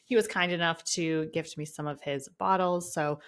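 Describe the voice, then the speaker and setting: A woman's voice: low-pitched, steady tone, moderate pace, smooth. A woman from the United States hosting a friendly interview over a video call, enthusiastic and laughing often. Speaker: podcast host.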